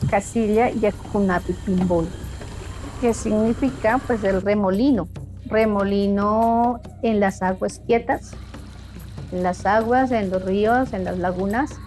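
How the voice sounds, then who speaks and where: A woman's voice: mid-range voice, darkish tone. Thoughtful woman speaking a second language. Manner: measured, thoughtful, pauses to find words. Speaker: interviewee. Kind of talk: reflective interview answers.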